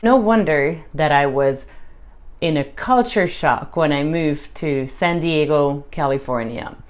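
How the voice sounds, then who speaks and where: A woman with a Hungarian accent talks to camera in a casual vlog. Her voice sits low, and she speaks in a measured, reflective way with slow pauses. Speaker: vlogger.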